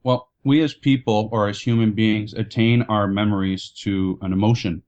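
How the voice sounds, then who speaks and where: A man's voice: lowish voice, steady tone, moderate pace, darkish tone, dull audio. A man talking casually on a podcast panel, emphatic and explanatory. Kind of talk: casual opinionated discussion.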